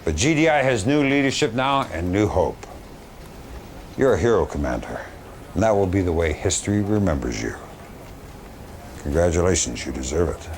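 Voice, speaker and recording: An actor playing a blunt, grave, plain-spoken military general debriefing in a video game cutscene. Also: male voice, sits low, sing-song, normal speed, pauses often, slight background noise. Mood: approving, weary. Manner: blunt, weighty, conversational debriefing.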